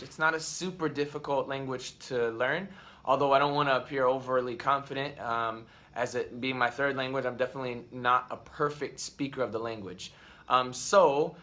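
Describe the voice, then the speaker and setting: Bright, crisp man in his 30s, vlog host and dad, chatty and rambling, full of ums. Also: medium pitch. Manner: rambling, lots of ums and restarts. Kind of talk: casual talk to camera. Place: at home.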